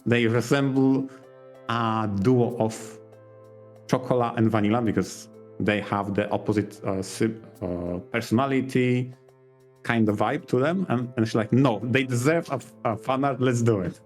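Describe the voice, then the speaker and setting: A man's voice: mid-range voice, normal speed. A man talking casually as a podcast co-host, hesitant and thinking aloud as he goes. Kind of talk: casual podcast chat about visual novels. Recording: music behind the talk. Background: background music.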